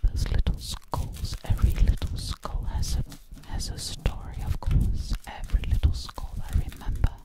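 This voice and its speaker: A woman's voice: moderate pace, hardly any pauses, lightly acted. A woman playing a sly, boastful witch in an ASMR roleplay, speaking breathily and close up, repeating words hypnotically. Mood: sinister, reminiscing. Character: sly, boastful female witch who takes people as coats. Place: small indoor room at a table. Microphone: close to the mic.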